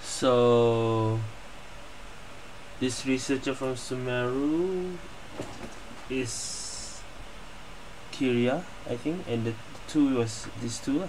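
Mellow gamer musing aloud. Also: medium pitch, drawn-out words, long pauses, a little hiss or hum, poor audio. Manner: musing aloud in short remarks. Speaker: gaming streamer. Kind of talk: casual gameplay commentary.

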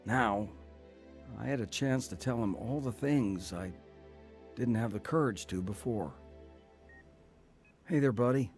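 A man playing a contrite older brother, earnest and gently wry, in a radio drama. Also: medium pitch, measured pace, pauses often, faint music behind, natural voice. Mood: narrating, warm. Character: contrite older brother, newly grateful and sincere.

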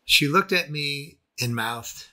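A man reading a scripted first-person memoir as its narrator, with a husky voice. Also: on the high side, slow pace, sharp tone. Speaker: author and narrator.

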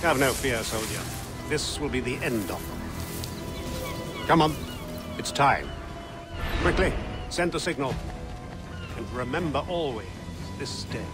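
An actor playing an imperious, impatient officer in a video game, formal and commanding. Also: male voice, medium pitch, expressive, takes it slowly, pauses often. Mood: resolute. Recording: loud music behind.

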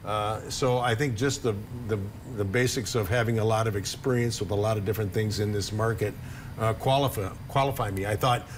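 A low-voiced man in his sixties sits as a guest in a TV studio and speaks on a lapel mic. He talks in a friendly, casual way and often stumbles over repeated words when starting a sentence. Faint background noise sits under the voice. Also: brisk. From Upper Michigan, USA. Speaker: blogger guest. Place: TV studio with couch set.

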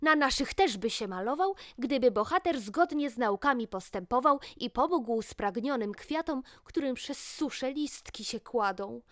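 A woman narrating a rhymed children's fairy tale in an expressive, deliberate storybook manner. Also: on the high side, dry recording, studio quality. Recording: music behind the voice. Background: loud music.

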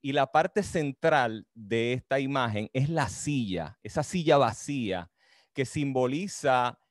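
A middle-aged man with a Caribbean Spanish accent speaks at a medium pitch on a video call with very little room echo. He presents like a teacher telling a story, deliberate and reflective as he explains. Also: studio quality. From Dominican Republic. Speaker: author and history teacher presenting his book. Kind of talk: book talk, explanatory storytelling.

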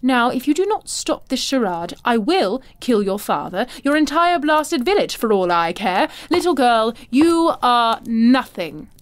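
An actress playing an imperious, cruel older madame in a fantasy audio drama, haughty, silky and condescending. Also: female voice, a little high, very animated, measured pace, hardly any pauses. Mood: threatening.

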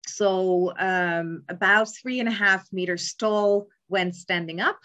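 A young woman explains her slides in a calm, measured lecture, with frequent ums. She speaks over a webcam from an indoor room, at a middling pitch. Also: lo-fi sound. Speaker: palaeontology lecturer. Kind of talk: slide lecture on cave bears. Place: indoor room, remote talk. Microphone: webcam in a video call.

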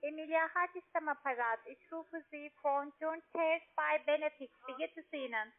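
A woman's voice: high-pitched, normal speed. A woman, speaking as a sales agent on a call. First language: not German. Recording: muffled narrow-band phone line.

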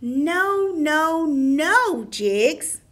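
A woman's voice: high-pitched, very animated, very slow, fairly bright tone, full character voice. A woman voicing an exasperated shaggy dog puppet, the sensible friend, scolding and insistent, in children's puppet theater. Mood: exasperated, correcting.

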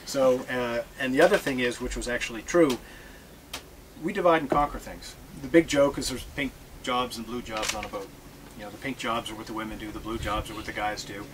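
Middle-aged man, casual talk, joking, medium pitch, faint background hiss, aboard a docked boat. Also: brisk, rough recording. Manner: relaxed, joking, reads from notes. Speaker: sailor giving a talk. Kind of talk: casual presentation to an audience. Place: aboard a catamaran in a marina. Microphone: far camera.

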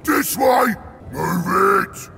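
A man voicing a brutish, bloodthirsty orc warrior for a video game, bellowing short, rough war cries, eager for battle. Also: high-pitched, animated, extremely slow, sharp tone, raised voice, slight background noise, full character voice. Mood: bellowing, impatient. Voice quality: gravelly, rough.

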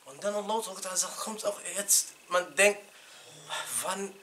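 A middle-aged man teaching an informal religious lesson in a small room, animated and chatty, laughing with his students and restarting his sentences. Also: sits high, takes it slowly, slight background noise. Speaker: sheikh teaching a Quran class. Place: small indoor classroom.

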